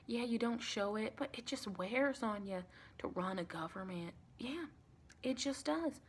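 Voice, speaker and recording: Creaky-voiced American woman as a sweet vampire. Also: on the high side, expressive, a little slow, slight background noise. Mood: chatty, reassuring. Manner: chatty, rambling, reassuring, calls listener sweetie. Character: sweet, reassuring female vampire welcoming a new recruit. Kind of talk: ASMR roleplay.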